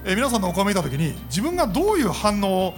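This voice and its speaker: A man's voice: sits high, huge pitch swings, quick pace. A man giving a polite thank-you speech on a live stage, often searching for his words. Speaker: cast member of a radio show. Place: public event stage in a shopping complex. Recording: live event PA with music behind.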